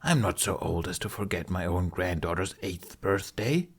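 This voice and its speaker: A man's voice: low-pitched, animated, moderate pace, husky, heavily acted. A man voicing a kindly, teasing elderly village grandmother with a dry manner in an audiobook. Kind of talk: audiobook character voice.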